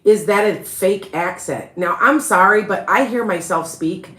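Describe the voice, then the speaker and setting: A woman's voice: medium pitch, a little slow, fairly bright tone, echoey room. A woman with a Chicago accent, hosting a rambling, chatty live stream as a psychic reader and laughing often. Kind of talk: casual live-stream chat.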